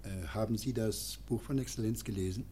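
An actor in a German radio play playing a fussy, deferential nineteenth-century householder who talks in an eager, conspiratorial way. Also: male voice, fairly low pitch, brisk, warm tone, a little hiss or hum, close to an everyday voice.

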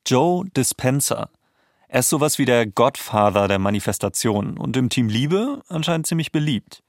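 A man's voice: mid-range voice, animated, moderate pace. Man narrating in an echo-free-sounding studio style. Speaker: documentary podcast host. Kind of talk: scripted narration.

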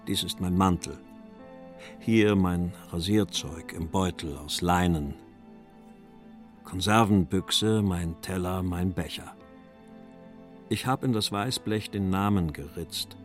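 An earnest male writer of the post-war years, reciting for a radio feature. The voice is very low and the delivery slow and deliberate, with faint music in the recording.

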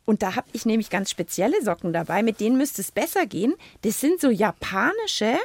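A woman hosting a children's radio show in a playful, casual and animated way. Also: fairly high pitch, a little fast, dry recording. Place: dry radio studio.

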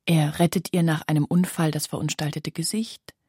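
Husky, low-leaning woman reciting literary prose. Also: little pitch movement, normal speed, a little mellow, dry recording, studio quality.

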